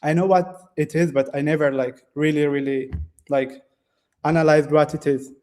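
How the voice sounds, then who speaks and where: Young Ethiopian man, guest dev, calls in from a classroom; mellow, slightly high, flat, hesitant delivery. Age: 20s. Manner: halting, many fillers and restarts, non-native phrasing. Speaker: guest developer. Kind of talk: casual interview answers.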